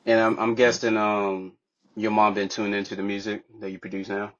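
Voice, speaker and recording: A man's voice: on the low side, little pitch movement, moderate pace, fairly bright tone. A man hosting a podcast, opening with a hyped intro and then asking casual interview questions, repeating catchphrases. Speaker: podcast host. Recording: dull lo-fi mic.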